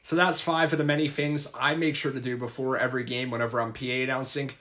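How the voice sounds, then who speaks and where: A man's voice: medium pitch, little pitch movement, fast talker, fairly bright tone. A young man, a college student and sports announcer, giving earnest, methodical advice in a scripted vlog. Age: young adult. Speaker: public address announcer.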